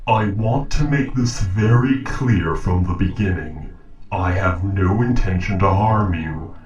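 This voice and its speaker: A man's voice: deep voice, darkish tone, muffled recording. A man voicing a polite, logical robot in a scripted audio roleplay, speaking formally and precisely. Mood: calm warning. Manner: formal, measured, precise diction. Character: polite, logical humanoid robot interrogating a captive human. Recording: roomy echo, distant from mic.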